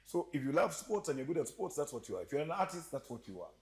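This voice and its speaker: A man's voice: on the high side, fast talker. Guy w a slight ugandan accent, jokey storyteller, voice jumps all over. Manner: animated, joking, laughs often. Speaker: podcast guest. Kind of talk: storytelling interview chat.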